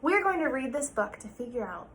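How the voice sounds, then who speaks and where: A woman with a high voice reads a picture book aloud like a teacher to young children. Her delivery is expressive and very varied in pitch, with explanations and questions for the listeners. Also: brisk, slight background noise.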